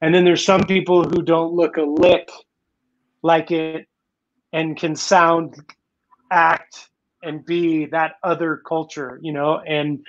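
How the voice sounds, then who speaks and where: A man in his forties hosts a live online interview from a room at home, his voice slightly high. He rambles through long questions, restarting and dropping in "you know", and the webcam audio sounds dull and lo-fi. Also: flat delivery, takes it slowly. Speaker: talk show host. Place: home room in Manila, indoors. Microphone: video call webcam.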